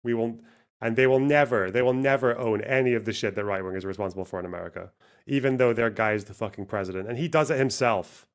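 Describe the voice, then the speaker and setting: A man's voice: medium pitch, quick pace. A man hosting a livestream, reacting to clips in casual, profane, exasperated talk and laughing easily. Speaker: streamer host.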